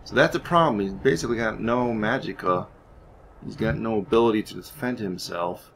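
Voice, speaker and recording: A man's voice: lowish voice, moderate pace, a little hiss or hum. A man giving casual, rambling game commentary, thinking aloud hesitantly as he plays. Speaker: gaming YouTuber narrating his playthrough.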